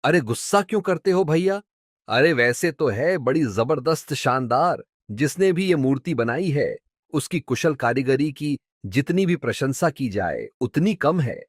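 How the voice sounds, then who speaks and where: A man's voice: medium pitch, lively intonation, a little slow, no room sound, studio quality. A man playing a sarcastic travelling merchant in a cartoon story, haggling with mocking, exaggerated comic delivery. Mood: teasing flattery. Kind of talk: Hindi cartoon story dubbing.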